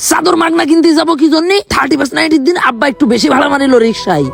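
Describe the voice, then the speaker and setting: Man acting high-pitched, touchy young village guy. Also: rapid-fire, vocal fry, a bit bright, soft background music, full character voice. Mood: defensive. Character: touchy, thrifty young man fussing over his new shoes. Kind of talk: comedy skit acting.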